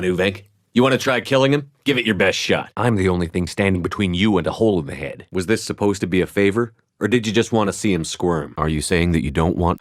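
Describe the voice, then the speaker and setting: Guy acting a tough space soldier hero for a video game, deep voice, blunt and commanding, super dry studio sound. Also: dry recording, close to an everyday voice. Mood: stern, threatening. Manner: firm, clipped, authoritative delivery.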